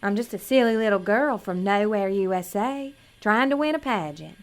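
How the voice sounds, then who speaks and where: A woman playing an innocent, earnest small-town pageant girl in an audio drama, self-doubting and gentle. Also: medium pitch, lively intonation, normal speed, close to an everyday voice. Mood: hurt, self-doubting.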